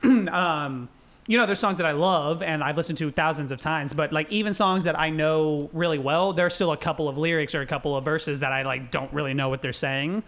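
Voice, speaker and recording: A man's voice: a little high, brisk, fairly bright tone. A tipsy young man co-hosting a podcast, chatting casually and rambling with fillers, laughs and swearing. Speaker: podcast co-host. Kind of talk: casual drunken chat.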